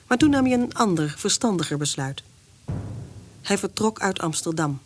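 A woman narrating a historical radio drama as a composed storyteller, measured and literary in her scripted delivery. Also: mid-range voice, animated, faint music behind, natural voice.

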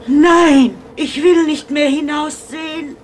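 A woman playing an anxious, frightened old grandmother in a German radio play, her voice often sinking toward a breathy half-whisper. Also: high-pitched, fairly even intonation, slow pace, slight background noise. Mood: urgent.

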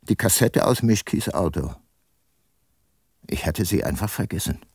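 A man's voice: deep voice, expressive, a little slow, gravelly, heavy background noise, exaggerated voice. An actor playing a sombre, reflective older detective who narrates his own radio drama. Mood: brooding, reflective. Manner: reflective, sober. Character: brooding, sombre aging private detective narrator. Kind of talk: first-person radio drama narration and dialogue.

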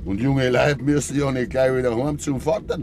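An actor as a stubborn Bavarian farmer, noisy old recording. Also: male voice, mid-range voice, fairly even intonation, moderate pace, natural voice. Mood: breezy, stubborn. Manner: breezy, evasive, talking past others. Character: stubborn older farmer father, cheerfully denying his son's death. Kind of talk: 1950s dialect radio play acting. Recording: noisy 1950s radio play recording.

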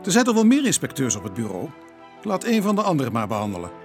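A man playing a weary, brisk, dryly sardonic police inspector in a radio drama. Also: medium pitch, huge pitch swings, faint music behind. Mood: irritated, curt. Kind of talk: Dutch radio drama acting.

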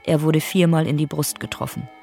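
A woman's voice: sits low, steady tone, a little fast, vocal fry, mellow, soft background music. A woman narrating victims' profiles in a radio play, in a clipped, factual, sober manner.

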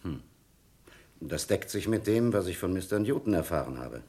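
A man acting a calm, probing police captain in a German crime radio play, speaking in a formal, measured way. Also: low voice, breathy edge, exaggerated voice. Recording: noisy vintage radio play recording.

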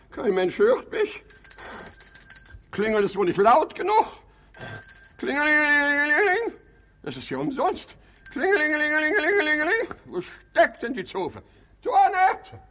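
A creaky, fussy old-style miserly hypochondriac. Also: male voice, very high-pitched, a bit bright, full character voice. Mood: wailing, self-pitying. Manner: theatrical muttering, wailing and scolding. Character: fussy, miserly male hypochondriac, querulous and self-pitying. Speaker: actor. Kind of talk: German radio play comedy acting.

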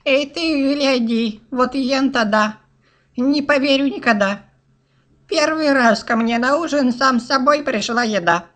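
A woman's voice: high voice, a bit bright, a little hiss or hum. A woman voicing a boastful, taunting three-hundred-year-old cannibal witch in a rhymed fairy-tale audio play. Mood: gloating. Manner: boastful, taunting, slow rhyming delivery.

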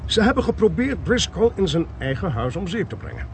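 A man acting a sharp, assertive detective in a radio play, clipped and commanding as he reasons. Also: high voice, big pitch swings, fairly quick, slight background noise. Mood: confident, explanatory. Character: sharp, assertive male detective reasoning through a murder case. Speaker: actor in a radio drama.

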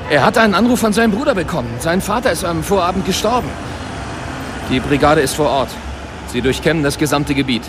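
An actor playing a hard, bitter, vengeful police detective in a radio drama, speaking in a curt, intense, biting way. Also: male voice, fairly high pitch, animated, fast, noisy background, natural voice. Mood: urgent. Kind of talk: German radio drama acting.

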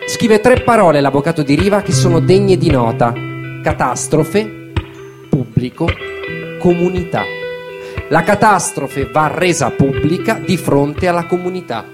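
A man's voice: on the high side, expressive, measured pace. A man delivering an emphatic theatrical monologue, built on incantatory repetition, as a stage narrator. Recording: loud acoustic guitar accompaniment. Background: plucked guitar music.

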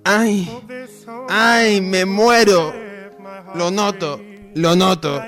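A man's voice: sits high, fairly even intonation, extremely slow, fairly bright tone, faint music behind, heavily acted. A man playing a dying, wealthy old hacienda owner, wailing melodramatically in a parody radio soap. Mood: agonized. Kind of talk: parody telenovela radio acting.

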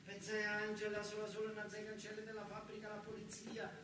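A man's voice: high voice, completely flat delivery, fast talker. A man with a southern Italian accent delivers a theatrical first-person monologue in a colloquial way, with emphatic repetition. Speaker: stage storyteller. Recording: roomy, distant, noisy live recording.

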